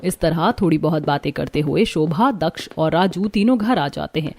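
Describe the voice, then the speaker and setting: A woman's voice: low-pitched, normal speed, darkish tone. A woman reading scripted narration for a cartoon family story.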